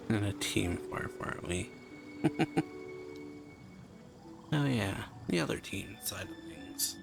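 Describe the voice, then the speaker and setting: A game streamer with a very deep, gravelly voice. The delivery is slow and casual, often trailing into mutters. Game music plays behind. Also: animated. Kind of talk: casual gameplay commentary.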